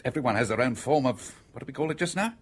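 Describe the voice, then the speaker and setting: Commanding gentleman schemer, British accent, brisk and wry, roughened voice, swooping intonation, radio play. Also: medium pitch, animated. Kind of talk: radio drama acting.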